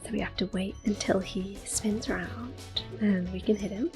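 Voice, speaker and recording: A woman giving gentle, unhurried ASMR game commentary in a soft, breathy voice that often drops to a whisper. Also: mid-range voice, fairly even intonation. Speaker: gameplay host. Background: video game music.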